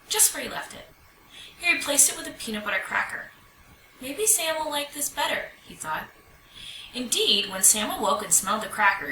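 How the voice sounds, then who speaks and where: A woman reading a children's picture book aloud in an expressive storytelling manner. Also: fairly high pitch. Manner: expressive, animated storytelling delivery. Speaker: storybook reader. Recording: roomy echo, distant mic, faint noise.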